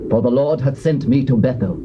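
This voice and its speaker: An actor with a British accent playing a stern, authoritative master prophet in a scripture drama, speaking in weighty, declamatory archaic English. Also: male voice, medium pitch, a little fast, lightly acted. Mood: grave, solemn. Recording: dull, noisy old cassette tape.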